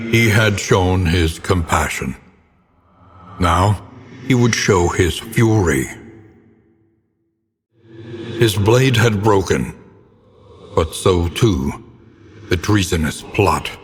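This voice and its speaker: A man playing a grim, solemn narrator of dark gothic game tales, speaking in theatrical, ornate phrasing. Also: low-pitched, full character voice. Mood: solemn, grave. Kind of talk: dramatic scripted gothic narration. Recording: long reverberant tail, clear background noise.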